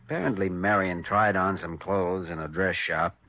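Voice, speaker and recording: Earnest deep-voiced radio doctor. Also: male voice, fairly even intonation, moderate pace, dry recording. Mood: concerned. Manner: earnest, gently probing, sympathetic. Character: earnest, caring young male hospital doctor. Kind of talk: 1950s radio drama acting. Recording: dull lo-fi 1950s radio recording.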